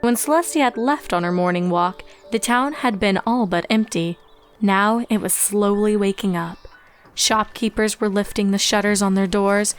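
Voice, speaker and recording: A woman's voice: mid-range voice, moderate pace, clean tone. A woman reading scripted storybook narration as the narrator of a fan fiction tale. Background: music.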